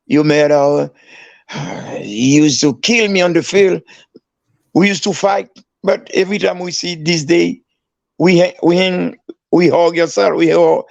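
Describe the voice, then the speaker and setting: An older man, a former coach, recalls his playing days in a rough, gravelly voice that sits a little high. His English is halting, full of restarts, with phrases circled back to. The sound is dry and close. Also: darkish tone, dry recording, muffled recording. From Haiti. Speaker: guest, former player and coach. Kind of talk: casual storytelling.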